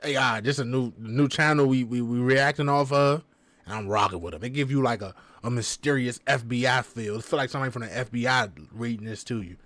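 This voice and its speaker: A man reacting to a video as the vlog's host, talking casually and excitedly to his viewers in heavy slang. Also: medium pitch, fairly quick, no echo. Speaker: reaction vlogger.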